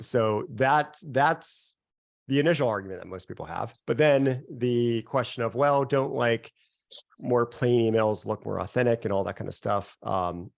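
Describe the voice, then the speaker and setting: Male presenter, stuffy and nasal from a cold, deep with gravelly fry, easygoing on a video call. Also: no echo, studio quality. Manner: relaxed and conversational, chuckles at himself. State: getting over a cold. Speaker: software founder presenting a workshop. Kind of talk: casual live webinar presentation.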